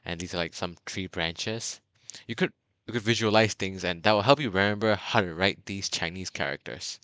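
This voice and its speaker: A young man from Burma talks through a beginner's lesson in a low, bright and crisp voice, dotted with fillers and restarts. The sound is dry and close, with no room around it. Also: moderate pace, no echo. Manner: chatty, many fillers and restarts. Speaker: language tutor. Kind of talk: casual video lesson.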